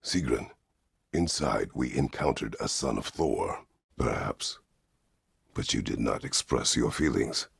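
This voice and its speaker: An actor playing a stern, weary, middle-aged Greek war god in a video game, speaking tersely and formally with a gravelly, husky voice. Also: male voice, rumbling low, expressive, unhurried, no echo, clean studio sound, exaggerated voice. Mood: stern, brooding. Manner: terse, grave, formal phrasing without contractions. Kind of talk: dramatic video game voice acting.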